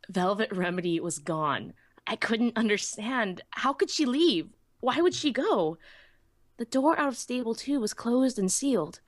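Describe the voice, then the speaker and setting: A woman playing a small, anxious young female pony who narrates her own story in an expressive, earnest way. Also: fairly low pitch, dry recording, clean studio sound, close to an everyday voice. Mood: troubled, wondering. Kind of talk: dramatic first-person story narration.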